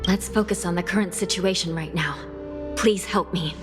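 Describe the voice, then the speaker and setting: An actress playing a stern, composed prison judge in a video game, speaking with formal, measured authority. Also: female voice, fairly low pitch, lightly acted. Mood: composed, analytical. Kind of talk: dramatic video game voice acting. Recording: long reverb with music behind.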